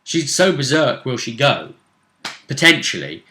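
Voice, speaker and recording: A man hosting a casual chat about a tabletop role-play game, telling it with emphatic, drawn-out phrasing. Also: medium pitch, very animated, sharp tone. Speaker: host. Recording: roomy, echoey room sound.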